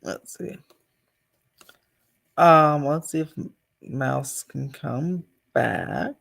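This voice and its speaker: A man's voice: a little high, lively intonation, extremely slow, vocal fry, fairly bright tone. A man hosting a casual livestream, chatting off the cuff and addressing viewers. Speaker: channel host.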